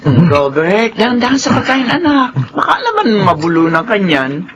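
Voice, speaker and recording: A woman's voice: mid-range voice, big pitch swings, normal speed, lo-fi sound, background noise, lightly acted. A woman acting a volatile, nagging middle-aged mother. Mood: fond concern. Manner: nagging, fretful. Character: exasperated, scolding mother of two grown sons. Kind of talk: Filipino radio drama acting.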